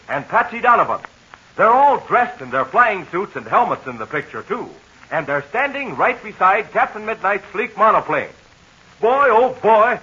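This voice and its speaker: A man announcing a 1940s children's radio serial, pitching eagerly to young listeners in a muffled old transcription. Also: bright, forceful tone, husky.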